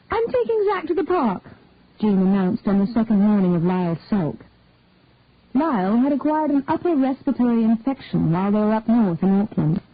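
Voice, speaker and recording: A woman reading a short detective story aloud in a measured, deliberate narration. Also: on the high side, husky, no room sound. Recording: tinny phone-like dry sound.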